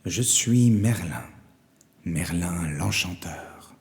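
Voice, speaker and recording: Solemn old wizard of legend, played by a male narrator: low, very deliberate, dark-toned storybook voice. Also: lively intonation, big-room sound, exaggerated voice. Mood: mysterious. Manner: slow, grave, deliberate. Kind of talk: storybook character voice.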